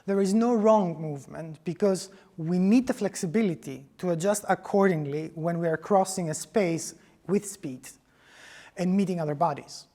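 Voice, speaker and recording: A man's voice: high-pitched, normal speed, dark tone. A man with a Greek accent, a choreographer giving scripted tutorial instruction. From Greece.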